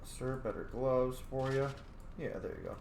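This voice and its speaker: A man's voice: medium pitch, level tone, normal speed, a little mellow, slight background noise. A man casually narrating his video game playthrough, rambling and thinking aloud as host. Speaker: host, gamer. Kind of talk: casual gaming commentary. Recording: roomy sound, faint game music.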